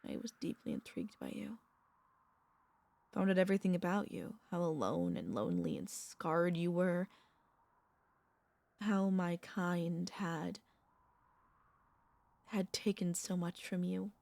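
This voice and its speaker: A woman voicing a centuries-old vampire mistress, a tender, possessive girlfriend, speaking softly and intimately with courtly wording and hesitant pauses in an ASMR roleplay. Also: lowish voice, lively intonation, gravelly, dry recording. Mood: wistful, tender. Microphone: close studio microphone, dry.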